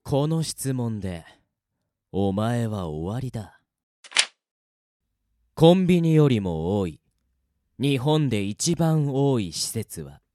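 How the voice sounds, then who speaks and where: Cocky death-game villain, swooping delivery. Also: male voice, medium pitch, sing-song, takes it slowly, long pauses, warm tone, dry recording. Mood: menacing taunting. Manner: rough, taunting speech with mocking laughter. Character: cocky, cruel male death-game player, gloating and scheming. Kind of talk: Japanese radio drama acting.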